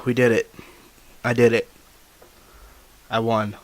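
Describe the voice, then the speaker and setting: A man's voice: mid-range voice, level tone, takes it slowly. A man giving casual commentary as he hosts a gameplay video. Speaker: gaming video host. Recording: faint game noise behind.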